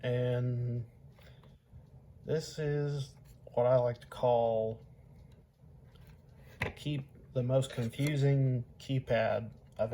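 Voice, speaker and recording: A young man in his early twenties talks slowly at a middling pitch. He is casually showing off gear as a hobbyist, often stopping mid-sentence. Faint noise sits behind him in a home recording. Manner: halting, pausing mid-sentence. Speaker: hobbyist showing weather radios. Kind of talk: casual unscripted product demonstration.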